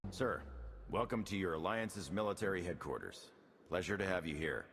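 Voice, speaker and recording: A battle-worn military officer, with a very deep, gravelly voice. He speaks plainly and sternly, with restrained weight, in a reverberant hall. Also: expressive, normal speed, a bit bright. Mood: grave, resolute. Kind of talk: scripted video game dialogue. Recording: reverberant hall with faint background noise.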